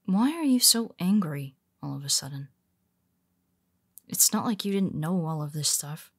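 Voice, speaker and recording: A woman's voice: deep voice, animated, normal speed, breathy edge, exaggerated voice. A woman playing a powerful, blunt but secretly vulnerable superhero in an intimate audio roleplay, speaking conversationally with hesitant asides. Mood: exasperated.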